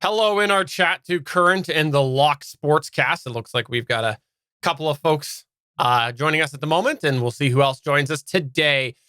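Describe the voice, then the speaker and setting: A man with a bright, forward voice co-hosts a casual live podcast. He talks in a rambling, self-correcting way, close on the microphone with a very dry sound. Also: fairly high pitch, moderate pace, no echo. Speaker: podcast co-host. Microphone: close microphone on a boom arm.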